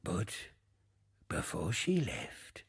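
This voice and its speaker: An actor playing a bawdy, wistful old ghost in a video game, theatrical and drawling, with a gravelly, rasping voice. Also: male voice, deep voice, wildly swooping pitch, extremely slow, no room sound, whispered, clean studio sound, heavily acted. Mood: yarn-spinning. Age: older. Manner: theatrical, salty archaic pirate talk, swooping and drawling. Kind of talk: video game character monologue.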